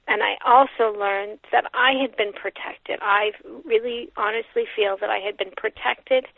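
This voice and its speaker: A woman from Canada, a guest author, talking over the phone in a soft, reflective way, with many pauses and fillers. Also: a little high, fairly even intonation, creaky, dry recording. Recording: tinny phone line.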